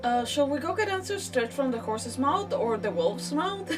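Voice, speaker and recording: Streamer girl, highish bouncy voice, rambly casual chat, bit far from mic. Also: moderate pace. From Mexico. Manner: rambling, giggly, animated. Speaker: game streamer. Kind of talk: casual livestream chat over gameplay. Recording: distant mic, faint game music. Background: game music.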